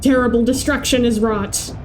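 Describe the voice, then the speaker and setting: High-voiced playful woman game streamer. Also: steady tone, moderate pace, background noise. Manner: playful, sweary, talks to herself. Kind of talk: casual livestream gaming chat.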